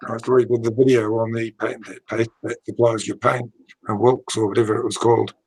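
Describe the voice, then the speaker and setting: A hoarse man with a cold, talking softly in casual video call chat. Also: medium pitch, level tone, fairly quick, dry recording, rough recording. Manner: soft, subdued. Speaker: hobbyist with young sons.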